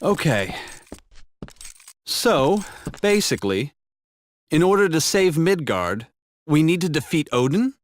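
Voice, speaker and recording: A man's voice: fairly high pitch, very animated, slow, long pauses. An actor voicing a blunt, hot-tempered young male hero in a fantasy video game. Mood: puzzled. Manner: blunt, incredulous, informal.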